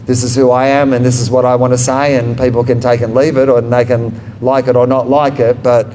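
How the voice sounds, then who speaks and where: A man's voice: medium pitch, level tone, fairly quick, dark tone, a little hiss or hum, rough recording. Jokey rambling man on stage. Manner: rambling, self-deprecating and jokey, laughs at own lines. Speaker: author and comedian guest. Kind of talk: live book talk with audience questions. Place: bookshop event before an audience. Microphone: handheld microphone.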